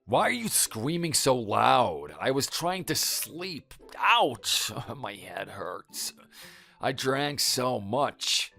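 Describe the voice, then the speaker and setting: A man plays a cheating husband in an audio drama. His voice jumps around in pitch as he stammers defensive, pleading excuses, with faint music underneath. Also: big pitch swings, a little slow, fairly bright tone, full character voice. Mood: groggy, annoyed. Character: cheating husband caught in a motel, defensive, flustered liar. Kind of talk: dramatized audio story acting.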